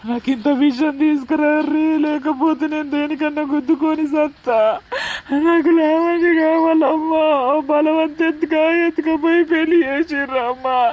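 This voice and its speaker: A man's voice: extremely high pitch, steady tone, normal speed, highs cut off. An actor playing a heartbroken young man, sobbing and begging. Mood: wailing. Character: lovesick young man, desperate and weepy. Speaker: heartbroken son pleading for his love. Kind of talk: village drama acting.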